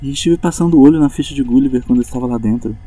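A man's voice: medium pitch, racing, warm tone, breathy edge, natural voice, low-quality recording. A man playing an eager, awestruck young tech patroller in an audio drama, enthusiastic and rambling. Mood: excited.

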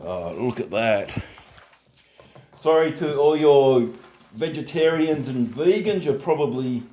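Aussie bloke chatting thru a recipe, slow easygoing talk, mellow voice, echoey kitchen. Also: male voice, mid-range voice, slight background noise. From Australia. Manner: relaxed, chatty, jokes at himself. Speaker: home cooking host. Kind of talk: casual cooking tutorial. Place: home kitchen.

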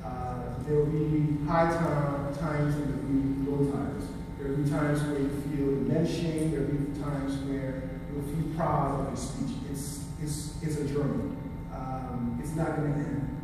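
Guy who stutters giving a talk at a podium, kinda high voice, big echoey hall, heard from the back. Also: steady tone. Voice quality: stutters, with pauses and repeated words. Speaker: speaker at a conference. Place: large hotel conference room. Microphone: podium mic heard from a far camera. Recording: roomy hall echo from far off, noise.

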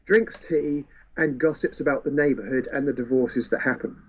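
A man's voice: mid-range voice, normal speed, breathy edge. A man in a casual book discussion, telling the story with wry relish. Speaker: podcast co-host. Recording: dull, muffled lo-fi audio.

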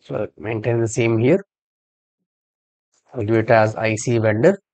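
A man giving a screen-share software tutorial as a trainer, explaining step by step and spelling out codes. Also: fairly low pitch, steady tone, unhurried.

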